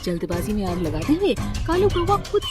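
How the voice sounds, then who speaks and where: A woman's voice: mid-range voice, wildly swooping pitch, fairly quick, loud music behind the voice. A woman reading scripted narration for a children's story as its narrator.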